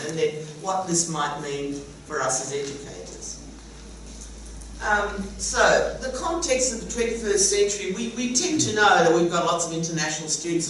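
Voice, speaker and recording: A woman's voice: low voice, distant mic. A middle-aged woman from Sicily, with Sicilian as her first language, giving a chatty, wry conference talk as an educator. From Sicily, Italy. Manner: chatty, wry, laughs at her own jokes. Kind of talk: conference presentation. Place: conference room. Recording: very echoey hall, voice from afar, faint hum.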